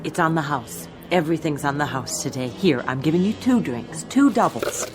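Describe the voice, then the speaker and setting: Low-voiced composed flight attendant woman. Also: animated, music in the background. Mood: polite, composed. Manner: polite, measured, reassuring. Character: composed, secretly shaken female flight attendant. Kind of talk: radio drama acting.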